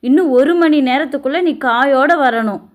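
A high-voiced, cruel stepmother played by a woman from a village family story. She speaks in a harsh, bossy way and nags like someone used to giving orders. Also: moderate pace, lightly acted. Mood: angry, commanding. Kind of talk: amateur Tamil family story drama.

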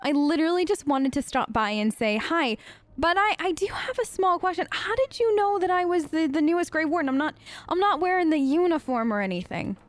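A woman's voice: extremely high pitch, expressive, brisk. A woman hosting a gaming video, improvising role-play chat in a chatty, polite, rambling way.

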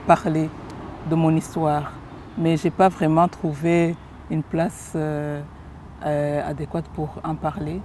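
Soft-toned woman hesitating outdoors. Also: sits low, a little hiss or hum. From Rwanda. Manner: hesitant, many pauses and fillers. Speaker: interviewee giving testimony. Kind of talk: personal testimony interview. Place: park lawn outdoors.

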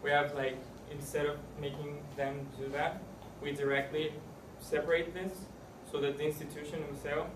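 Flat-voiced teen boy presenting. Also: on the high side, moderate pace, few pauses. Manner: casual, uses filler "like". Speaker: student presenter. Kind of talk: class presentation. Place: classroom. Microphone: far camera. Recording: echoey classroom from far off, noisy.

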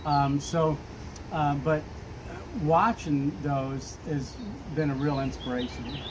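A man's voice: on the high side, little pitch movement, slow. Chatty fifty-something guy interviewing a hiker outside a shop, lots of ums, street noise around. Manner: chatty, rambling with many fillers and laughs. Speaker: vlog host. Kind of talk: casual outdoor interview. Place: outdoors in front of a shop on a street.

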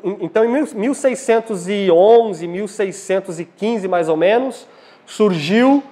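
A young Brazilian man teaching from the Bible in a didactic way, pausing to put questions to his listeners. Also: high voice, a little mellow. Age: young adult. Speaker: preacher giving a lecture at a church congress. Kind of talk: Bible teaching sermon with scripture reading. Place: small indoor church hall at a lectern. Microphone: lapel.

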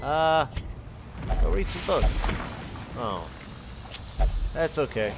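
A sniffly man with a head cold gives relaxed, slow-paced commentary on his game, easygoing and encouraging. Clear game music runs behind his voice. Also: on the high side. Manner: relaxed, chatty, cheering his party on. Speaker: gaming channel host. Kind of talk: casual let's-play commentary.

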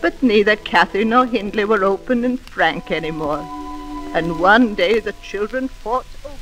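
A woman playing a grave, weary old housekeeper in a 1940s radio drama, deferential and measured. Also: mid-range voice, fairly bright tone, close to an everyday voice, poor audio. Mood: solemn, reminiscing. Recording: old radio broadcast with music behind.

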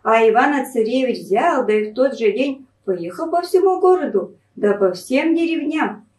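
Woman, Pinega dialect of northern Russia, folk tale reading, very lively intonation, echoey room. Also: fairly high pitch, moderate pace. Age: middle-aged. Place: small library room, indoors. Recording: echoey room, distant microphone.

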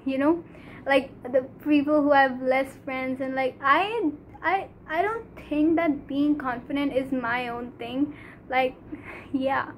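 A teenage girl from Pakistan with a Pakistani English accent, Urdu her first language, talking earnestly to a phone camera in a small room, halting, with many fillers. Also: high voice, steady tone, dull audio, a little hiss or hum. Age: teens. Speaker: vlogger. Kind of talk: casual talk to camera. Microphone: phone camera at arm's length.

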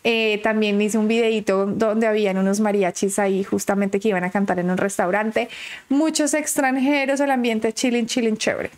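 A woman's voice: medium pitch, steady tone, moderate pace, a little mellow. A woman from Colombia hosting a reaction video, talking in chatty, exclamatory asides into a webcam at home. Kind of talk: excited reaction commentary. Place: home room.

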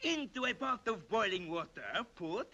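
A man voicing a theatrical cartoon gourmet, savoring every word of a recipe in a drawn-out delivery. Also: high-pitched, big pitch swings, vocal fry, background noise, heavily acted. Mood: relishing, instructive. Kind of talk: cartoon character acting. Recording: dull, lo-fi cartoon audio.

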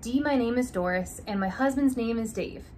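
A young woman reading a children's alphabet picture book aloud, slow and deliberate, stressing each opening letter, in a small room. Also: a little high, darkish tone, slight background noise. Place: small room indoors by a curtained window.

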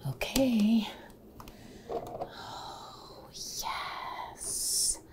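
Young female ASMR performer, hushed whisper, slow and repetitive, close-miked. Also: fairly high pitch, steady tone. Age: young adult. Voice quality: breathy, mostly unvoiced whisper. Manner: repeats short words and phrases. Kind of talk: ASMR roleplay with personal attention. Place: home kitchen, indoors. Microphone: close to the mic.

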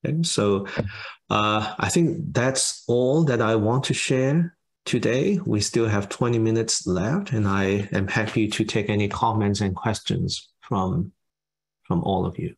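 A man from Hong Kong with a Hong Kong English accent that shifts between British and American, speaking in a measured, thoughtful way over a video call from his study. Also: lowish voice, creaky. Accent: Hong Kong English, mixing British and American. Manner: measured, thoughtful, halting pauses. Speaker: guest speaker. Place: home study with bookshelves. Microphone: webcam on video call.

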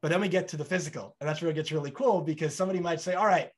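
A man's voice: on the high side, racing. A man in his thirties hosting a podcast over a video call, talking animatedly and acting out little back-and-forth dialogues. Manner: animated, gestures broadly, acts out both sides of dialogues. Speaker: podcast host. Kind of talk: conversational interview. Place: bright home room.

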